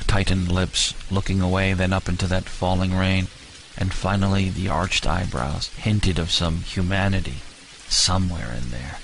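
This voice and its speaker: A man giving grave, deliberate, dramatic first-person narration for an audio story. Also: low voice, fairly even intonation, fairly bright tone, slight background noise, poor audio. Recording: music bed with rain sounds.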